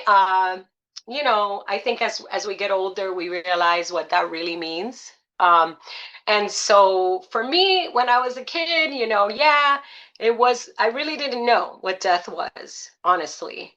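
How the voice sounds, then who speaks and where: A woman's voice: mid-range voice, bright, forceful tone, dull audio. A middle-aged woman from Puerto Rico, a salsa singer chatting as an interview guest, upbeat and full of uh and um fillers. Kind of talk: casual interview chat.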